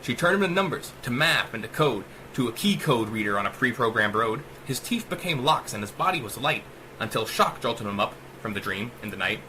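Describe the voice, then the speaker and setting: A man's voice: medium pitch, very animated, fairly quick, sharp tone, a little hiss or hum, poor audio. A man narrating a rhyming short story as a dramatic audiobook, with theatrical, emphatic delivery. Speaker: author reading own short story.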